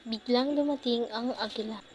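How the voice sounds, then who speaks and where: Higher-pitched woman narrating a children's story. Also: normal speed. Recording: faint background noise.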